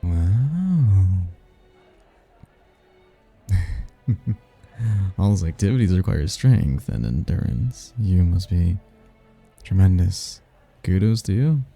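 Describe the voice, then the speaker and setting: Casting: male actor as suave demon seducer for ASMR roleplay, very low gravelly voice, courtly and flirtatious, prideful. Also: very animated, slow pace, long pauses, warm tone, heavily acted. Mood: intrigued, flirtatious. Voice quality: husky, gravelly. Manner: smooth-talking, courtly, flirtatious. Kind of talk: ASMR roleplay, one-sided romantic conversation. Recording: music behind the voice.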